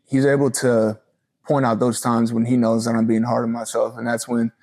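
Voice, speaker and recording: Mellow, even-toned young man. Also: mid-range voice, normal speed. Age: early 20s. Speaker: college football player being interviewed. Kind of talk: casual sports interview.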